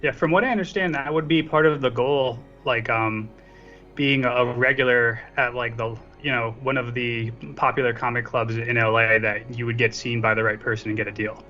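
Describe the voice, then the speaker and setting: A man's voice: medium pitch, steady tone, moderate pace, sharp tone, faint music behind. A man chatting casually as a guest on a podcast. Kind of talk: casual chat. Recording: slightly dull lo-fi mic sound.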